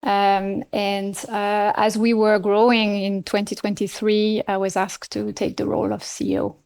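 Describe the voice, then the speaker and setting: French-accented woman, measured podcast guest. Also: medium pitch, little pitch movement, gravelly, darkish tone, clean tone. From France. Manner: measured, many fillers and restarts. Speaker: nonprofit CEO, guest. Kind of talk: podcast interview.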